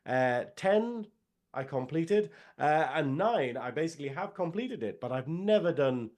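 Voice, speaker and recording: A man's voice: fairly high pitch, lively intonation, unhurried, a little mellow. A man, co-hosting a casual podcast and rambling in a jokey way with lots of fillers. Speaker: podcast co-host. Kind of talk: casual chat.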